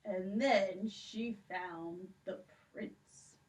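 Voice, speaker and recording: Woman reading a story slowly. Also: mid-range voice, darkish tone, recorded from a distance. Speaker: children's librarian. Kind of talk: storybook narration. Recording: voice from off the mic.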